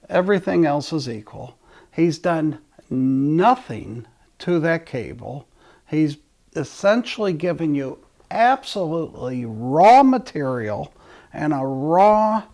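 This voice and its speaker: Gravelly, high-pitched man chatting casually. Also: a little mellow. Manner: rambling, often restarting sentences mid-thought. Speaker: host of an audio channel. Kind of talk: casual talk to listeners.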